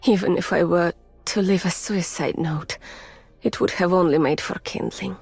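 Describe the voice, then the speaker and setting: Soft, breathy, weary Russian scientist. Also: female voice, fairly low pitch, steady tone, soft background music. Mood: haunted, somber. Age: adult, mature. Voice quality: soft, breathy, often near a whisper. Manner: measured, formal, restrained. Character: gentle, weary, haunted Russian woman scientist. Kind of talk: soft, intimate ASMR-like game voice acting.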